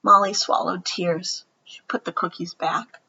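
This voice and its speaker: A woman reading a children's picture book aloud in an animated, expressive storytelling manner. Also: lowish voice, measured pace, creaky, lo-fi sound, a little hiss or hum. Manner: animated, dramatic storytelling with whispered asides. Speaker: storybook reader.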